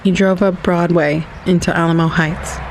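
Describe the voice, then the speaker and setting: Male storyteller for literary narration, crackly fry in the voice, measured, faint noise behind. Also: high voice, flat delivery.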